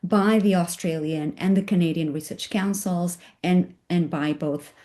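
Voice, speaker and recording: Raspy-voiced woman lecturing with a cold. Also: fairly low pitch, steady tone, measured pace, dark tone. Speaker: guest speaker. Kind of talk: academic webinar talk. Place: home at night, joining remotely. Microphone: video call.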